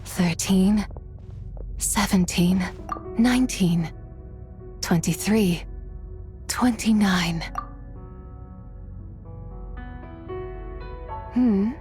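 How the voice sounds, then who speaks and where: Mysterious, lonely female spirit for a game: unhurried, wistful, halting, gentle, mid-pitched. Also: faint music behind. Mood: wistful, dreamy. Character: lonely, forgetful, gentle moonlit spirit, ancient and enigmatic. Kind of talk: video game character acting.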